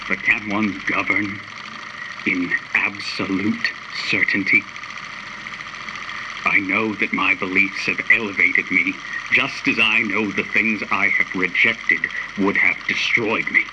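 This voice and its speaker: A proud, unyielding ruler of a city acted by a man speaks slowly and grandly, as in a radio play. The delivery is deliberate and oratorical, over a clearly noisy old radio-style recording. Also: on the low side, fairly even intonation. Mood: brooding, resolute. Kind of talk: radio drama acting.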